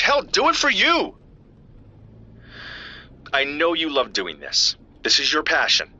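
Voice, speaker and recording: A worried friend who pleads urgently over the phone, his husky tone sharp and bright. His pitch swings widely as he presses his point. The sound is dull and lo-fi, as if it were a phone line. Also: full character voice. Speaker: caller. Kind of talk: audio drama acting.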